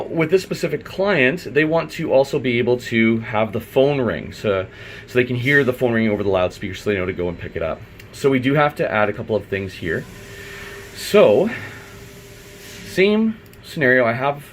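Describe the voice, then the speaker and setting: Man talking through steps, faint background hum. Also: mid-range voice. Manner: informal, thinking aloud. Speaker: IT installer giving a software tutorial. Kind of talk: unscripted step-by-step screen walkthrough.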